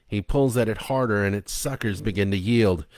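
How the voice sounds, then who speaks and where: A man voicing a desperate, defiant grown man who sounds strained and breaks into shouts. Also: on the low side, moderate pace, no room sound, close to an everyday voice. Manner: strained and pleading, rising to shouts.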